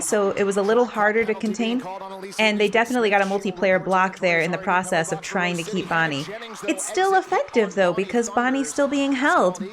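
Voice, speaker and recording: A woman giving casual, playful sports commentary on a game, expressive and quick to act out what players might be thinking. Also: medium pitch. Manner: playful, expressive, voices imagined thoughts of players. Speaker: roller derby commentator and former player. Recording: crowd noise behind the voice. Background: roller derby game crowd.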